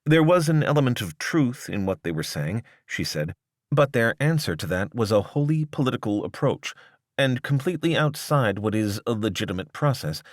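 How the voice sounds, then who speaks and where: A man reading a long-form news article as scripted narration, in a measured, articulate and formal way. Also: medium pitch, no room sound, clean studio sound.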